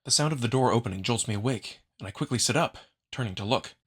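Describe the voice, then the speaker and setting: A man's voice: mid-range voice, fast talker, a bit bright. A man reading first-person narration from a visual novel in a dramatic storytelling style. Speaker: narrator and performer.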